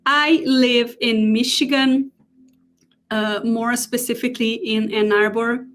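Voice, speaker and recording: Brazilian-accented woman, 40s, holistic therapist; unhurried, earnest delivery, slightly high voice. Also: fairly even intonation. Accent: Brazilian Portuguese. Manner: warm, earnest, checking notes with pauses. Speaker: holistic therapist presenting a talk. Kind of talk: live online presentation.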